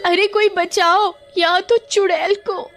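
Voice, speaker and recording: Woman acting a shrill, whining, high-pitched young wife. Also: steady tone, a little slow, over music. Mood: terrified. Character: careless, self-absorbed young wife and mother, shrieking, easily frightened.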